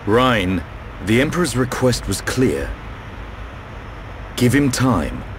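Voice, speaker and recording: An actor playing a serious, patient male companion in a video game, formal and deliberate in his speech. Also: mid-range voice, big pitch swings, a little hiss or hum. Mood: grave, counselling.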